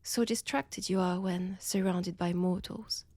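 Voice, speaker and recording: Breathy French vampire seductress, acted by a woman, slow and menacing. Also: fairly low pitch, steady tone, darkish tone, no echo. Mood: gloating, teasing. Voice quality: breathy, often dropping toward a whisper. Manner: slow, teasing, menacing, deliberate pauses. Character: seductive, predatory female vampire toying with her captive. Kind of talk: intimate audio roleplay, addressed to the listener.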